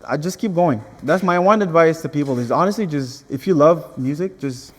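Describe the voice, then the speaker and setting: A man's voice: fairly high pitch, normal speed, long reverb tail. A young man from Angola, whose first language is Portuguese, chatting earnestly in an interview and restarting his phrases. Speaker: guest artist. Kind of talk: casual interview chat.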